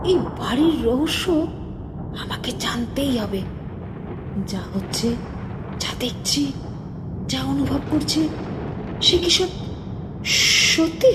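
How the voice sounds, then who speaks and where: A woman playing a curious, easily awed young daughter-in-law in an audio drama, breathy, halting and exclaiming to herself. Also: sits high, very noisy. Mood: suspicious, determined. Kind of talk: dramatic Bengali audio play acting.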